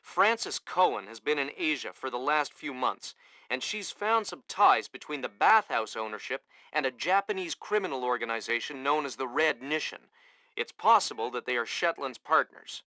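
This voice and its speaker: Casting: alert game mission officer, forceful bright tone, very dry sound, crisp informal briefing. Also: male voice, mid-range voice, lively intonation, moderate pace, dry recording. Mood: businesslike. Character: brisk male mission operations officer, businesslike and alert.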